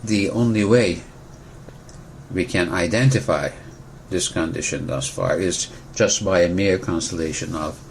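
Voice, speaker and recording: A man from Turkey, a physician calmly explaining a disease in an interview, precise and pausing to rephrase. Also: low voice, a little hiss or hum. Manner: measured, precise, pauses to rephrase. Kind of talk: explanatory medical interview answers.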